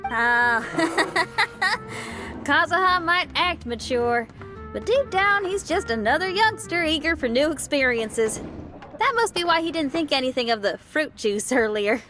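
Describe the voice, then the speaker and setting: A spirited young rock musician from a video game. Her high, lively voice is frank and folksy, with clear music in the background. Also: a little slow. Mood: friendly, surprised. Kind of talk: video game character acting.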